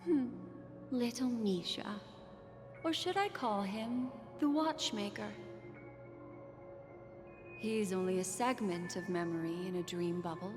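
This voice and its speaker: An actress playing an enigmatic, composed woman guide in a video game, speaking in a measured, deliberate and coy way. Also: mid-range voice, close to an everyday voice. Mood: musing. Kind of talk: dramatic video game narration. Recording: long reverb, music behind.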